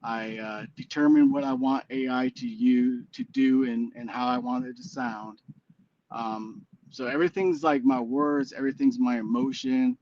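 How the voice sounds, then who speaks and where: A man's voice: mid-range voice, steady tone, normal speed, darkish tone, dull audio, slight background noise. A man in his forties, a guest on a casual chat show, talking in a rambling, hesitant way with many fillers and restarts. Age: 40s. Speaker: guest musician.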